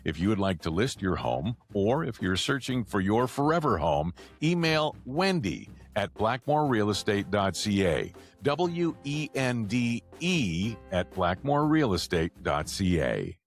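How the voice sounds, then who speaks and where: A man's voice: fairly low pitch, sing-song, a little slow, hardly any pauses, faint music behind. A man hosting a podcast and radio show, reading intros and sponsor plugs and chatting casually.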